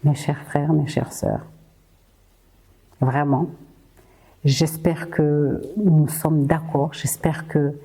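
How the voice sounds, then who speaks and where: A woman's voice: sits low, fairly even intonation, very slow, pauses often, dark tone, husky, poor audio. A Rwandan-born woman hosting a podcast, speaking earnestly and rallying her listeners, with frequent hesitations and repeated words. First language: Kinyarwanda. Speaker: podcast host. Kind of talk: impassioned podcast monologue.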